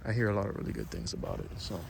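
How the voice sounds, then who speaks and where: A man's voice: fairly low pitch, very animated, very fast, vocal fry. A man from Miami, born in Cuba, talking casually and conversationally as he gives an unscripted tour outdoors in his backyard. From born in Cuba, raised in Miami. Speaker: host giving a tour of home fruit garden. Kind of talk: casual unscripted vlog narration. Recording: noisy outdoor recording with ambient sound.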